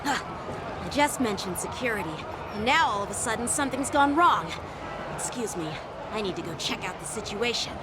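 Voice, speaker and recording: A woman's voice: on the high side, expressive, a little fast, noisy background, natural voice. A woman playing an earnest, chatty young male knight officer in a video game. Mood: serious, concerned. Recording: game music behind.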